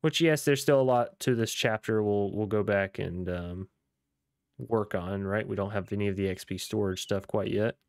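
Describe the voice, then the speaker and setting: A man's voice: fairly low pitch, moderate pace, a little mellow, no echo, clean studio sound. A young man with a slightly worn voice, chatting casually and upbeat while he plays, rambling with fillers as he goes. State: losing his voice. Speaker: gaming YouTuber. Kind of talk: casual gameplay commentary.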